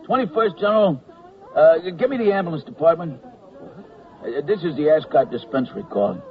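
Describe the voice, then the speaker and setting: An actor playing a stubborn, officious army medical sergeant with gruff, slangy talk in a radio comedy drama. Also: male voice, a little high, expressive, normal speed. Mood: impatient. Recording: dull, band-limited radio recording.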